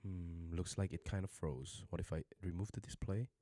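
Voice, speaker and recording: A man's voice: low voice, fairly even intonation, normal speed, dark tone, no room sound, clean studio sound. A man teaching a casual livestream lesson, chatting with viewers and repeating phrases for learners. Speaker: Korean language teacher.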